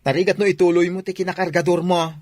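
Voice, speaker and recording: A man's voice: high-pitched, level tone, quick pace, darkish tone, dry recording, studio quality, lightly acted. Doting, fussing husband, acted by a man. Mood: fretful, fussing. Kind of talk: Ilocano radio drama acting.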